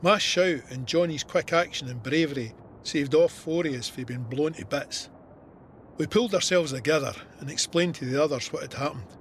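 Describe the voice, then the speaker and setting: An actor with a Scottish accent playing a soldier who narrates his war memoir in a measured, vivid, plain-spoken way. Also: on the high side, fairly bright tone, slight background noise. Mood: tense, then reflective. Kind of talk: scripted first-person war memoir narration.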